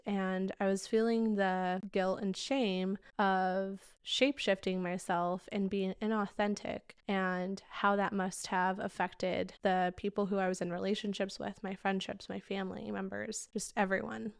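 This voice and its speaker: Slightly raspy woman hosting a podcast. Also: medium pitch, no room sound, clean studio sound. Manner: warm, chatty, addresses listeners as "babes". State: recovering from losing her voice. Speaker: podcast host. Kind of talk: casual solo podcast talk.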